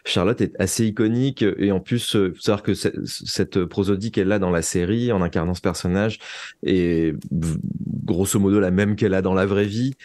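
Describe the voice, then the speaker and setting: A man's voice: low-pitched, moderate pace, a little mellow, dry recording. A man speaking as an interview guest, a fiction writer answering conversationally and often searching for words and repeating himself.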